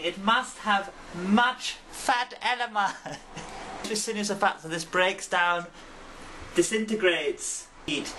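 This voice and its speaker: A deadpan Englishman cooks at home with a mock-pompous air. He stands some way from the microphone. Loud sizzling from the pan fills the background. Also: sits high, a little hiss or hum. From England. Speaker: home cook. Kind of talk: comic cooking demonstration. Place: home kitchen. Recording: distant mic, loud kitchen sizzle. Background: frying food sizzling.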